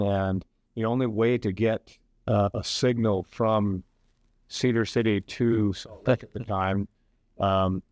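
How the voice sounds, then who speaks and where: Older male academic guest, low pitch, chatty and meandering, close dry studio mic. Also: a little mellow, no room sound. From Hawaii. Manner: rambling, many fillers and restarts. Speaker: professor and guest. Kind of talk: casual radio interview.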